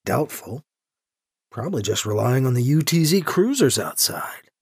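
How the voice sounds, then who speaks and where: A man's voice: medium pitch, big pitch swings, normal speed, husky, pitched below the performer's own voice, full character voice. A man playing a wry, anxious protagonist in an audio story, muttering terse, sardonic thoughts aloud. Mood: wry worry. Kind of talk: audio story character acting.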